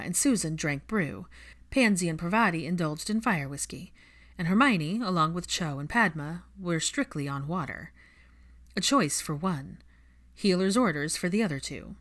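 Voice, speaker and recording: A woman reading fiction aloud as an audiobook narrator, in scripted storytelling style. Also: lowish voice.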